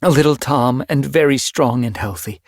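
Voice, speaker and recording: A man voicing a calm, efficient female medicine cat in an audiobook, speaking briskly and matter-of-factly. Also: fairly low pitch, no echo, clean studio sound, pitched above the performer's own voice. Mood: encouraging. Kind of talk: audiobook character voice.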